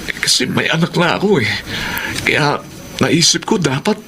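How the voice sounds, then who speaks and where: A man's voice: a little high, sing-song, moderate pace, bright, forceful tone, slight background noise. A man acting a hopeful young father and younger brother, respectful and earnest, in a family audio drama. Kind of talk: dramatic Tagalog radio-style drama acting.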